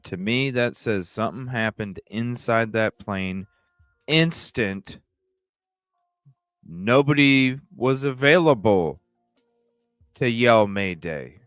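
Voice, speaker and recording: Slow-talking man with a clear, smooth voice. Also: medium pitch, lively intonation, a bit bright, no room sound, studio quality, faint music behind. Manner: repeats phrases, asks rhetorical questions. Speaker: livestream host. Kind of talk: casual speculative breaking news commentary.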